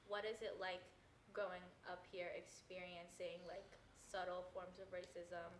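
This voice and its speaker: A woman's voice: fairly low pitch, little pitch movement, a little slow, slight background noise. Chatty eighteen-year-old woman moderator. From California. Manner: casual, many "like" fillers. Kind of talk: casual panel discussion. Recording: roomy recording.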